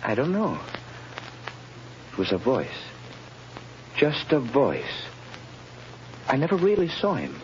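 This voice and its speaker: An actor playing a freed male prisoner who talks in a halting, breathless way in a 1930s radio drama. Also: mid-range voice, huge pitch swings, darkish tone. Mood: awed. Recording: dull old radio recording with hiss.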